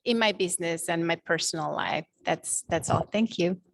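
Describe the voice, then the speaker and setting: Non-native woman, lowish voice, hesitant guest introduction. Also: gravelly, dry recording. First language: not English. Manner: hesitant, halting with fillers. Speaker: visiting guest. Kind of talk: brief self-introduction.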